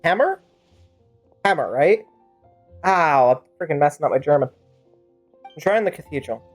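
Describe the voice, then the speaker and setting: A woman's voice: low voice, expressive, normal speed, slightly rough, faint music behind. A woman streaming a game with casual, rambling, playful commentary and sudden exclamations. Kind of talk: casual game commentary with in-character fan-dub banter.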